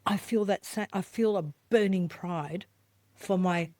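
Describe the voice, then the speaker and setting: A woman's voice: medium pitch, unhurried, mellow, dry recording. A woman co-hosting a podcast in casual chat, passionate and laughing often. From Australia. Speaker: podcast co-host.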